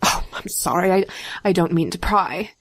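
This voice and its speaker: A woman acting a coaxing, lower-voiced polite hostess. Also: normal speed, dry recording, lightly acted. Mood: curious, polite. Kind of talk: audio comedy skit dialogue.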